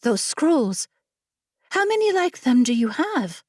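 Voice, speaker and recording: A woman plays a clever female scholar from a fantasy storybook, earnest and persuasive as she bargains. Her voice is fairly high and swoops with eager expression, in a dry, close recording. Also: big pitch swings, takes it slowly, a little mellow, no room sound, exaggerated voice. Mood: careful persuasion. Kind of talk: fantasy audiobook character acting.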